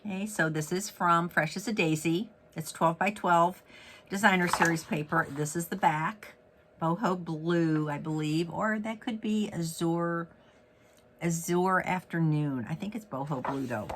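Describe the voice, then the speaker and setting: Guy with a head cold, raspy and stuffed up, chatty crafting demo, lots of ums. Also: a little high, a little slow, background noise. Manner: chatty and upbeat, with many fillers and self-corrections. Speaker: stamping demonstrator and host. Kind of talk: casual card-making tutorial.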